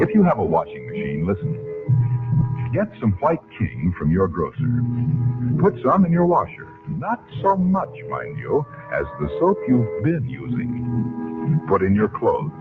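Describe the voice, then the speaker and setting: A man working as an old-time radio announcer, delivering scripted narration and sponsor pitches with theatrical, emphatic phrasing. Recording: tinny old radio recording with music. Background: loud music.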